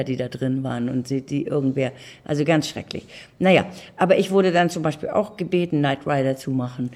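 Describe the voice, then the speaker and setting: A woman's voice: low-pitched, darkish tone. A woman of mature years. She talks conversationally, looking back as an interviewee. She leans on key words and repeats them for emphasis. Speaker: interviewee, audio drama producer.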